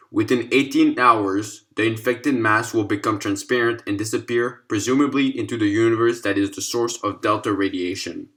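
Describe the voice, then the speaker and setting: A man with a fairly low voice narrates a written case file. His delivery is formal and clinical, as scripted scientific narration. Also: fairly bright tone.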